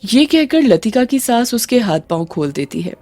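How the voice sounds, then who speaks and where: A woman's voice: on the high side, sing-song, moderate pace, dark tone, no echo. A woman giving dramatic scripted narration of a moral story.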